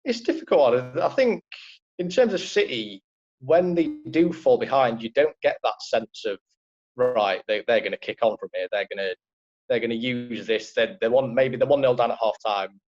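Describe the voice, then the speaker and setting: A man's voice: medium pitch, normal speed, darkish tone, dull audio. A man with a Liverpool accent, a football writer talking football in a casual podcast chat, rambling with many fillers.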